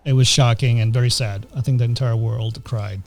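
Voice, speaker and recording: A middle-aged man from Greece hosting a podcast in casual chat, often pausing with uh and um. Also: mid-range voice, fairly even intonation, gravelly, dry recording, faint music behind. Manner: hesitant, many uhs and ums. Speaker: podcast host.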